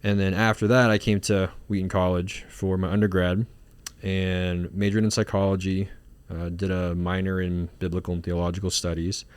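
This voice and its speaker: A man's voice: sits low, level tone, normal speed, smooth, no echo. A man from Singapore, a marriage and family therapist, talking casually in an interview with many fillers and restarts.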